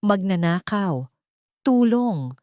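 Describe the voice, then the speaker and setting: A woman's voice: mid-range voice, animated, takes it slowly, a little mellow, smooth, dry recording, clean studio sound. A woman voicing a destitute, wronged young woman in an audiobook drama, pleading in despair. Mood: desperate. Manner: anguished, pleading.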